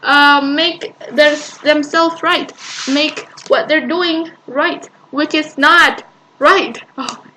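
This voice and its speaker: A woman's voice: high voice, steady tone, slow pace, sharp tone, a little hiss or hum, low-quality recording. A woman reacting to a video she is playing, arguing back at it in an exasperated, rambling way with sighs and restarts.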